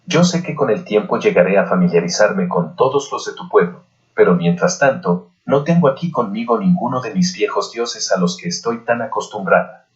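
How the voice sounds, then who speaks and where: A man voicing a young, homesick Aztec princess bride who pleads softly and deferentially, as in an audiobook. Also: on the low side, animated, normal speed, dull audio, close to an everyday voice. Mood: melancholy pleading.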